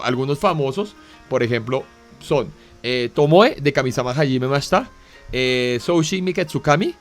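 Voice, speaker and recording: A man with a Colombian accent, co-hosting an anime talk show in a casual, chatty way, full of fillers and tag questions. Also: on the high side. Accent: Colombian Spanish. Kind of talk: casual explanatory chat.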